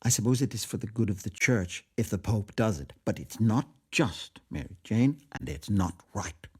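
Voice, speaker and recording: A man's voice: lowish voice, expressive, normal speed, warm tone, husky. A man voicing a fiery, indignant old aunt in a storybook, emphatic and scolding.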